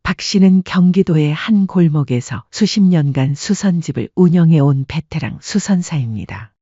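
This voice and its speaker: Mellow, husky male storyteller. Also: fairly high pitch, no echo, highs cut off. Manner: calm, measured storytelling. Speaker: narrator. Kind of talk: scripted storytelling narration.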